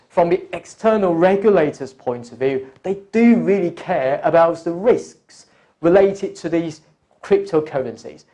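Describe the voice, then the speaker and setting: A man working as an online course tutor, explaining step by step in a deliberate, instructional lecture style and addressing the learner directly. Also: on the high side, mellow. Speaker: accounting exam course tutor. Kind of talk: explanatory lecture, talking through examples.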